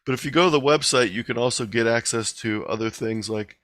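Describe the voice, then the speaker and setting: A man with a mid-pitched voice gives an informal online presentation. The sound is dry, with very little room in it. He explains things in a conversational way, like someone fielding questions. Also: brisk, fairly bright tone, dry recording. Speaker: guest presenter. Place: online video meeting.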